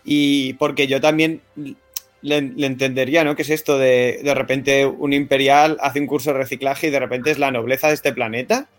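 A man's voice: mid-range voice, steady tone, normal speed, faint music behind. A man, co-hosting a podcast chat with sarcastic, mock-outraged rants. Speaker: podcast co-host. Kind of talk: casual chat.

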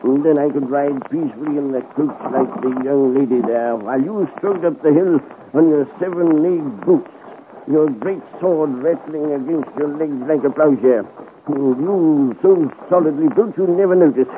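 An actor playing a grumbling, wry middle-aged merchant in a 1950s radio serial, fussy and theatrical. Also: male voice, on the high side, normal speed, narrow, tinny audio, natural voice. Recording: scratchy 1950 radio recording, thin band.

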